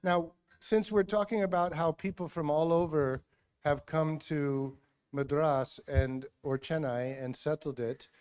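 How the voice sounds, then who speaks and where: Male teacher-host, a touch high-pitched, quizzing students with quick encouraging prompts into a handheld mic. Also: a little mellow. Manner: encouraging, brisk follow-up questions. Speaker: school assembly host and teacher. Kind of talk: questioning students at an assembly. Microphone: handheld microphone.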